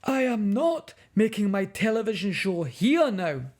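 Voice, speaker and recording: Furious storybook man, acted by a woman, raised pitch, emphatic, scolding, indignant. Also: very animated, measured pace, darkish tone. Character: furious, indignant grown man, wildlife television presenter.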